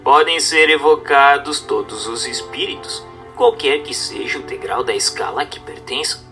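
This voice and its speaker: A man's voice: medium pitch, expressive, moderate pace, sharp tone, faint music behind. A man with a Brazilian accent reading a book aloud as scripted narration, in a formal, didactic manner. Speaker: audiobook narrator.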